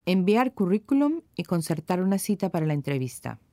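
A woman's voice: lowish voice, very animated, brisk, mellow, no room sound. A woman reading scripted instructions as the narrator of a language course.

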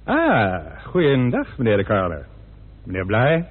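An actor playing a smug, ornately polite forensic doctor, pompous and formal, in a Dutch radio play. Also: male voice, medium pitch, huge pitch swings, unhurried. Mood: smug politeness. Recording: muffled, band-limited old radio recording.

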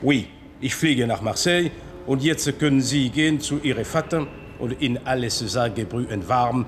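An actor playing a curt, offended foreign football player with a French accent and broken grammar in a radio drama. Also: male voice, mid-range voice, normal speed, a little hiss or hum, close to an everyday voice.

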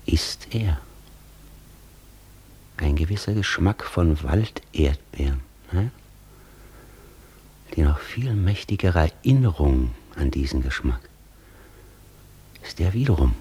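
A very animated, low-pitched learned master of a radio drama. He is eloquent and worldly, musing aloud with swooping emphasis and an imperious edge. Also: slow, pauses often, husky, full character voice. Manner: theatrical, musing, swinging emphasis. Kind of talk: German radio play acting.

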